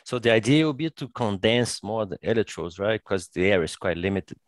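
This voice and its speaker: A man's voice: fairly low pitch, expressive, fairly quick, no echo. A man hosting an eye care podcast who asks loose, casual questions packed with fillers. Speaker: podcast host, ophthalmologist.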